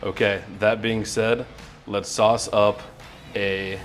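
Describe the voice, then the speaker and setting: Low-voiced man hosting a casual interview. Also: flat delivery, slow, faint music behind. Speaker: host interviewer. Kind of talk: casual comedic food-challenge interview.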